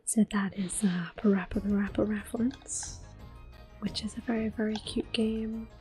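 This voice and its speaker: A woman doing ASMR game commentary in a soft, breathy whisper, gentle and unhurried. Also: mid-range voice, fairly even intonation, soft background music. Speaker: ASMR gameplay host.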